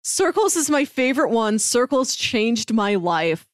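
A woman's voice: on the high side, big pitch swings, unhurried, no echo, studio quality. A woman co-hosting a podcast, talking in a chatty, informal way. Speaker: podcast co-host. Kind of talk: casual podcast chat.

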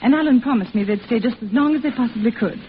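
A woman's voice: a little high, fast, natural voice. An actress playing an eager, lovestruck young woman in a 1940s radio drama, breathless and earnest. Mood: earnest, yearning. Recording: tinny, noisy old radio recording.

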